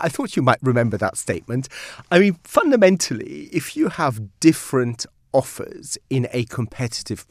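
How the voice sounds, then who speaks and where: Belgian guy, dutch native, lawyer guest, measured and emphatic, mid pitch. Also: no room sound, studio quality. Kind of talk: articulate interview answers. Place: studio.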